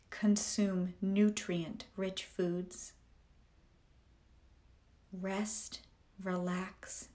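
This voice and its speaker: A woman reading scripted guided meditation affirmations in a deliberate, measured way, in short phrases with pauses. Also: medium pitch, mellow.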